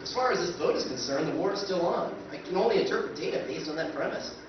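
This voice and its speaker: Man acting animated, exasperated space adventurer, very echoey. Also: high-pitched, fast talker, noisy background. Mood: resigned. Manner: emphatic, indignant. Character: exasperated, blustering male space adventurer and narrator. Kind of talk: live recreation of 1950s radio science fiction. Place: convention performance room, picked up from afar. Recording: echoey convention hall, distant muffled sound.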